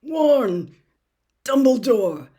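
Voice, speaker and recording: A woman's voice: sits high, very animated, mellow, voice pushed up, heavily acted. A woman voicing a mad older male wizard official in an audiobook, veering between officious dictation and desperate, broken gasps. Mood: frantic, desperate. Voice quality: breathy gasping, broken halting delivery. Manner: swings from fluent officious dictation to halting frantic gasps.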